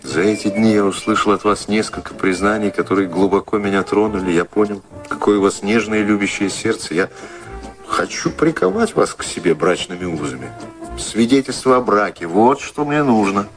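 A suave, self-assured seducer in a radio play. His voice is low and moves with plenty of melody as he teases and woos. Music plays faintly behind him. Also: lively intonation, normal speed. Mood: ardent. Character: teasing, self-assured charming rake wooing a woman. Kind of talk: radio drama acting.

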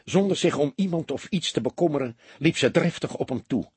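A man's voice: on the high side, animated, fairly quick, darkish tone, husky, no echo. A man reading a detective novel aloud as dramatic scripted narration. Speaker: audiobook narrator.

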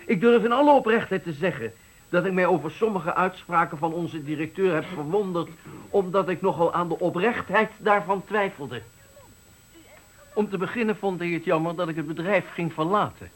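A man's voice: high voice, normal speed, poor audio. A man playing an older retiring worker, dignified and frank, speaking formally and pointedly in a Dutch radio play. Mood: indignant, resolute.

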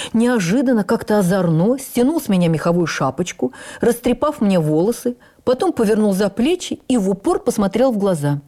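An actress playing a stage actress who recalls her youth with admiring wonder, in measured, literary, expressive radio narration. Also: mid-range voice, lightly acted. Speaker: actress reading a memoir on radio. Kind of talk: dramatic first-person memoir narration for radio.

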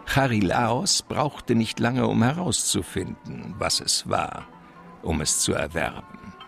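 Suave, slow-talking, gravelly criminal mastermind. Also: male voice, fairly low pitch, sing-song, a bit bright, faint music behind, full character voice. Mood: relishing storytelling. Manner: courtly, deliberate, verbose, pompous. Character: suave, wily, verbose male criminal mastermind hunting treasure. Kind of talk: German radio crime drama acting.

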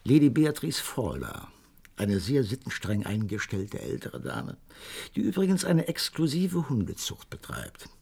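Husky, gravelly Doctor Watson, genial gentleman. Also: mid-range voice, huge pitch swings, measured pace, heavily acted. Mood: confiding, measured. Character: Doctor Watson, genial, well-informed gentleman friend of a detective. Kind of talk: German radio crime drama acting.

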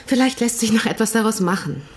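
An actress playing the frank, exasperated wife of a writer in a radio play, speaking in a candid, pointed way. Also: female voice, a little high, lively intonation, fast, a little mellow.

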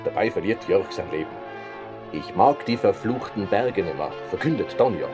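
An actor playing a boastful mountain film star and storyteller in a radio play. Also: male voice, deep voice, lively intonation, moderate pace, music in the background. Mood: storytelling. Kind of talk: German radio play acting.

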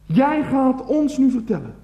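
Radio comedy role: smarmy male game show host, high-pitched, theatrical, oily and overfamiliar, in a roomy echoing studio. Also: big pitch swings, measured pace, creaky, background noise, exaggerated voice. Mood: bombastic showmanship. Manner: unctuous, theatrical, repeats himself, swings from announcing to coaxing. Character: smarmy, bombastic male TV confession-show host, falsely intimate. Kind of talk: satirical radio play, parodying TV show presenting.

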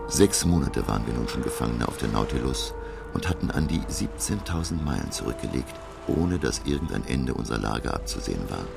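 An actor playing a learned professor who gravely narrates his undersea adventure in a radio play, measured and dramatic. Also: rumbling low, expressive, exaggerated voice. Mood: grave, tense. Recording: music under the voice.

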